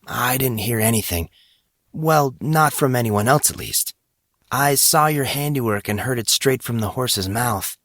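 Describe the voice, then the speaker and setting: A man voicing a gentle, teasing teenage boy in a dramatised audiobook. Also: medium pitch, a little slow, no room sound, studio quality, pitched above the performer's own voice. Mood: wry, teasing.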